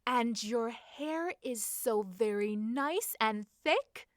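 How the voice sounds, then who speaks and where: Woman acting dreamy young Regency lady, drawn-out delivery. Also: on the high side, lively intonation, no room sound, studio quality. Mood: dreamy, affectionate.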